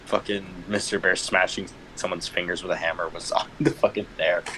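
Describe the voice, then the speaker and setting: Low-voiced man in profane, joking banter. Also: fairly even intonation, a little fast, pushed and bright, slight background noise, rough recording. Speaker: livestream co-commentator. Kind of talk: casual banter. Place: voice call.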